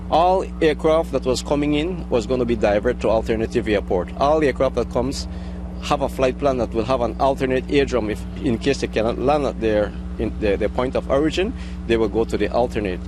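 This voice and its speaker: A middle-aged man with a Belizean accent giving an unscripted news interview, speaking haltingly and rephrasing as he goes. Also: medium pitch, background noise. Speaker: air traffic controller interviewee.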